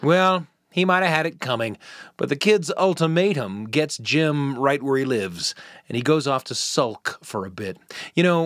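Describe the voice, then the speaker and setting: A man's voice: mid-range voice, fairly bright tone, dry recording. Man hosting, dry close sound. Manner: wry, conversational storyteller. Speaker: podcast host. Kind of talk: casual scripted narration.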